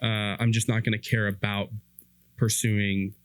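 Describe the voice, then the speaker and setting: A man's voice: on the low side, level tone, moderate pace, dry recording. A man hosting a conversational podcast interview on faith, reflective and probing, repeating phrases as he thinks. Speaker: podcast host.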